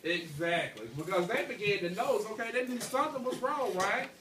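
A man's voice: high voice, fairly quick, a loud crowd. A young man teaching an elementary class, reading a storybook aloud and checking in with pupils with frequent okays and rights. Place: school classroom, indoors. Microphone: handheld phone among the pupils, several metres away. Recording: echoey, noisy classroom, recorded from far off.

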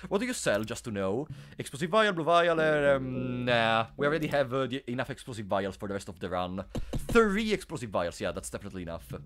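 A man's voice: a little high, a bit bright, a little hiss or hum. A young man with a sore throat, chatting playfully with his live chat while streaming a game. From Italy. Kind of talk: casual live-stream commentary.

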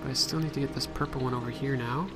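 A man hosts a gameplay video in a casual, think-aloud style. His voice sits at a middle pitch. Music plays clearly behind him. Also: steady tone, fast talker. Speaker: let's play host.

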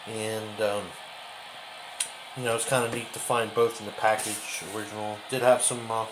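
A man giving a casual, off-the-cuff show-and-tell as a hobby video host, speaking in halting, trailing phrases in a kitchen behind a handheld camera. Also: lowish voice, little pitch movement. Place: home kitchen, indoors. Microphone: handheld camera, behind the lens. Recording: clearly noisy home camera recording.

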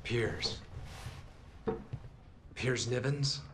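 An actor playing a determined, loyal young soldier in a video game, with an intense, insistent manner. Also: male voice, mid-range voice, steady tone, extremely slow, heavy background noise. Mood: low, pointed. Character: determined, loyal young male soldier confronting his captain. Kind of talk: cinematic video game acting.

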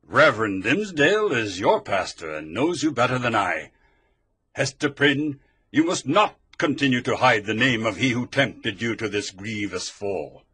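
Guy putting on a stern old preacher, loud, bright and sharp, pitch jumping around, kinda raspy. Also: animated, moderate pace. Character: stern older male Puritan clergyman, Reverend Wilson.

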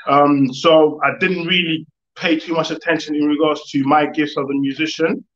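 A young man telling his story as an interview guest, in a casual, reflective way with halting phrasing. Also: a little high, little pitch movement, a bit bright. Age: young adult. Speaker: guest, music producer. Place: living room, video call. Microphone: video call. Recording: echoey room, dull video-call audio.

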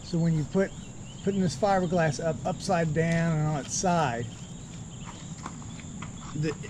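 Middle-aged man, slightly high voice, easygoing step-by-step explainer, close camera outdoors, crickets loud behind. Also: unhurried, noisy background. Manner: relaxed, explanatory, walking through each step. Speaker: boat refit vlogger. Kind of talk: casual how-to narration. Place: outdoor boatyard under a hull. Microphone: handheld camera at close range.

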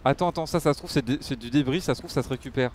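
A man's voice: medium pitch, very fast, background noise. A man giving casual live game commentary, muttering to himself and swearing freely. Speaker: game streamer.

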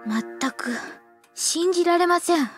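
A woman's voice: extremely high pitch, expressive, normal speed, loud music behind the voice. A woman playing a gloomy, self-loathing sixteen-year-old schoolgirl in an anime audio drama, polite and easily flustered. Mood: exasperated, defensive. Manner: flustered, pessimistic, polite speech.

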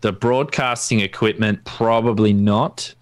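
Aussie guy in his 30s, deeper voice, laid out tech explainer on a stream, dry close mic sound. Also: steady tone, a little slow, no room sound. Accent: Australian. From Australia. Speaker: guest ham radio expert. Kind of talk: casual technical explaining on a livestream. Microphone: close studio mic on boom arm, with headphones.

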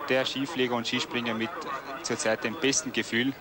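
Man, Austrian accent, medium pitch, colloquial expert sports analysis, outdoor broadcast, clear crowd behind. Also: little pitch movement, fairly quick. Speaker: expert co-commentator. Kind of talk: colloquial ski jumping analysis.

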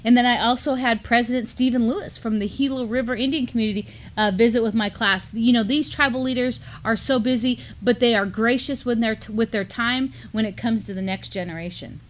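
A middle-aged woman co-hosting a news discussion in a conversational style, with halting, filler-heavy delivery. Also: on the high side. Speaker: co-host and university lecturer. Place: small studio, seated at a table.

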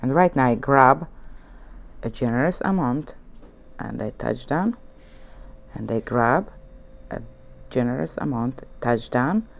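A woman with a Hungarian accent, a native Hungarian speaker, giving a relaxed nail art tutorial at her studio desk, talking in gentle, coaxing instructions and often checking in with "okay?". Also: extremely low pitch, slow pace, creaky, pauses often. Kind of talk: relaxed tutorial narration while working. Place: indoor nail studio desk, hands on camera from above.

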